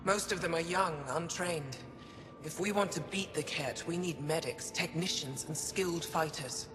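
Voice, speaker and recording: An actor playing a weary, steadfast alien resistance commander in a video game, speaking in a measured, formal, earnest way. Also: male voice, fairly high pitch, music in the background, lightly acted. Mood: grave. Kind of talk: dramatic game dialogue. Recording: reverberant with background ambient noise.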